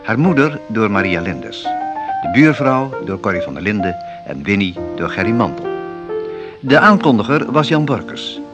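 Calm male radio credits announcer. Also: on the low side, moderate pace, highs cut off. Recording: loud piano music behind.

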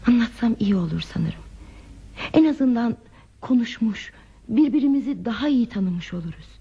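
An actress playing a lonely, withdrawn woman in a radio play, confiding her sad past in a hesitant, soft, reflective way. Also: a little high, animated, moderate pace, vocal fry, husky, full character voice. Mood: melancholy, confiding. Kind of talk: Turkish radio theatre acting. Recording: muffled lo-fi radio drama with music.

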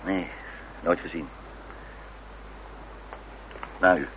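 A man's voice: sits low, steady tone, moderate pace, close to an everyday voice. An actor playing a hotel detective in a radio crime drama, a man speaking in dry, musing, clipped phrases. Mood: businesslike. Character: hotel detective Steve, deep-voiced, dry, speculating man. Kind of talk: radio crime drama acting with inner monologue. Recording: noisy old radio drama recording.

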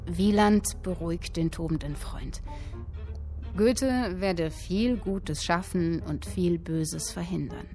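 An actress playing a captive woman who reads literary prose aloud in a radio drama, earnest, expressive and deliberate. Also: fairly low pitch, faint music behind. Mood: earnest narration.

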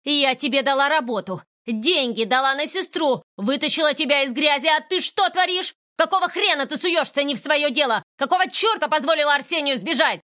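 A woman's voice: high-pitched, fairly quick, few pauses, pushed and bright, dry recording, voice pushed up. A woman voicing a cruel, domineering older woman who screeches insults at her employee. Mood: furious. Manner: shrieking, bullying.

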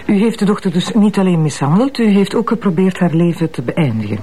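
A woman's voice: fairly low pitch, animated, quick pace, dark tone, a little hiss or hum, close to an everyday voice, poor audio. A woman with a Flemish accent playing a strict, formal young deputy prosecutor in a crime drama, speaking with clipped authority. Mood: stern, formal. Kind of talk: acted drama dialogue.

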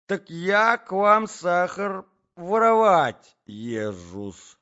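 Man acting a shrieking offended gentlewoman, high swooping pitch, drawn-out retorts, vindictive, storybook drama, dull recording. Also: lively intonation, full character voice. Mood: wounded indignation. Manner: shrill, servile old-fashioned speech tics, drawn-out retorts.

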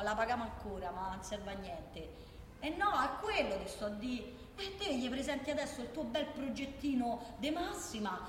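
A woman's voice: high voice, a bit bright. An actress playing a feisty working-class woman with a Roman accent, arguing colloquially and emphatically in a theatrical radio monologue. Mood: explaining, sarcastic. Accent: Roman Italian. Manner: colloquial, argumentative, emphatic, with rhetorical questions. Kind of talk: theatrical radio monologue, one side of a conversation. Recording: echoey reverberant hall, faint background noise.

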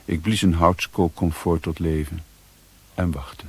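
An actor playing a weary, sombre adventurer, narrating his journey in measured, solemn literary style for a radio drama. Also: sits low, fairly even intonation, husky, exaggerated voice. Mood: sombre, brooding.

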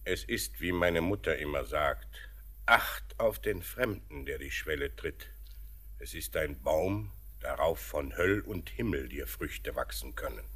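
An actor playing a thoughtful, middle-aged father and mine master, measured and reflective in a poetic radio drama. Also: a bass voice, animated, fairly bright tone, exaggerated voice. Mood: grave, kindly. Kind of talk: poetic German radio play acting.

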